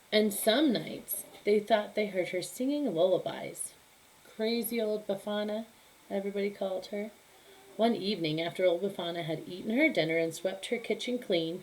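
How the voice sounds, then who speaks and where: A woman reads a children's story aloud like a teacher with her class. Her delivery is animated and emphatic, shaped for young listeners. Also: mid-range voice, low-quality recording. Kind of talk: expressive children's story reading.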